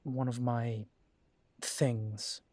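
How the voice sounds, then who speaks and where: A man voicing a young adult werewolf in an audio drama, earnest and proud, his words slow and unhurried. The close, dry sound keeps his mid-range voice right up front. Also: mid-range voice, level tone, darkish tone, dry recording. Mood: insistent. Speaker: audio drama creator and lead voice.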